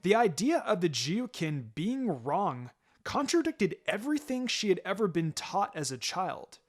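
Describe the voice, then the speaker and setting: A man's voice: sits high, very animated, a little slow. A man reading fan fiction aloud as a narrator, in a dramatic scripted storytelling style. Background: music.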